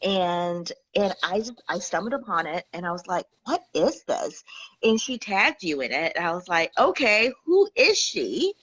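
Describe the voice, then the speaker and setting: Woman from singapore, lowish voice, chatty guest on a video call, acts out what she thought back then. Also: unhurried, rough recording. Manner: animated storytelling, acts out her own past thoughts. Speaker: guest, Akashic Records healer. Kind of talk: casual interview chat.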